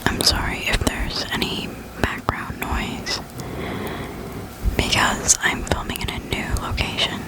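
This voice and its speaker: Slow, breathy ASMR whisperer. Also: low-quality recording. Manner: slow, gentle, soothing. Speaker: host of an ASMR reading channel. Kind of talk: whispered ASMR, poetry reading. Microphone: close desktop condenser microphone.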